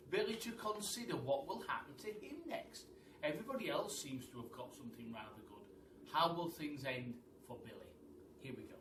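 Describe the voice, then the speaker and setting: A man reading a children's story aloud as a storyteller, in an animated way that speaks straight to his listeners. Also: mid-range voice. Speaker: teacher storyteller. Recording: echoey room, distant mic, faint noise.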